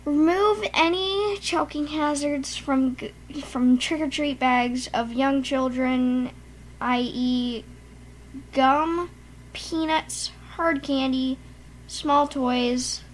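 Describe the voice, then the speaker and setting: A woman co-hosting a casual show, rambling and halting with frequent restarts. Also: high-pitched, a little hiss or hum, low-quality recording. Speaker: podcast co-host. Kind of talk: casual chat.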